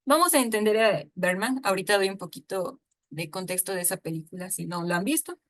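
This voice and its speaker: A woman's voice: mid-range voice, fairly quick. Chatty woman giving a talk. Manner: chatty, with frequent fillers and asides. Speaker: lecturer. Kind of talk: informal online talk on storytelling.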